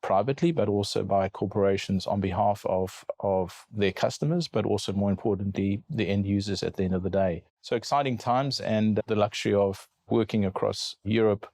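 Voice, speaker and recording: A middle-aged South African man with a lowish voice that stays flat and even. He answers podcast questions in a measured way, often restarting and repeating a word before going on. The sound is close and dry. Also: vocal fry, no echo. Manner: measured, repeats words while thinking. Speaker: guest cybersecurity lawyer. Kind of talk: podcast interview.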